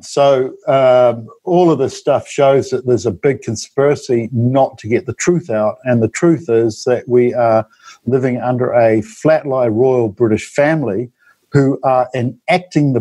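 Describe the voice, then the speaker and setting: NZ-man in his fifties, interview guest, dark mellow tone, dry sound, rambling delivery. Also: medium pitch, no room sound, rough recording. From New Zealand. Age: 50s. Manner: rambling, many ums and tag questions. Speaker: guest author. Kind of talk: interview monologue.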